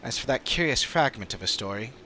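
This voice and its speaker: A man voicing an earnest, analytical adventuring companion in a fantasy game, speaking in a measured, formal way. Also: mid-range voice, animated, pushed and bright, slight background noise. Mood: thoughtful. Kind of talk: dramatic reading of game dialogue.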